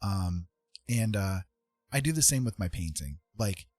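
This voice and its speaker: A man's voice: lowish voice, expressive, unhurried, a little mellow, husky, no echo. A middle-aged man hosting a casual livestream, talking in an earnest, musing and hesitant way. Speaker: livestream host, artist and writer. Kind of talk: casual reflective livestream chat. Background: music.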